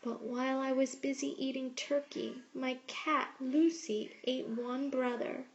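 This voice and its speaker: A woman reading a picture book aloud like a teacher, with expressive, measured storytelling. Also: high voice, muffled recording. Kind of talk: storybook read-aloud narration.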